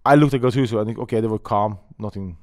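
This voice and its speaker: A man in his forties with a Norwegian accent, a guest telling stories in animated, slightly broken English at a desk mic in a small studio. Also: on the low side, fast. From Norway. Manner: animated storytelling, gesturing, some broken English. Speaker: guest, former footballer. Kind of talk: casual podcast chat. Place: small studio with colourful backdrop. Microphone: desk mic on stand.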